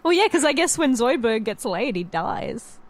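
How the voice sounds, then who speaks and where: Wry woman with a highish voice. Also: sing-song, moderate pace. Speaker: podcast panellist. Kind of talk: casual chat.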